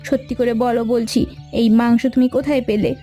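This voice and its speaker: Woman playing cartoon village wife, anxious, caring, questioning, high mellow voice, faint music underneath. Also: fairly even intonation, a little fast, close to an everyday voice. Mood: surprised, curious. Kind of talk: cartoon folk-tale dialogue.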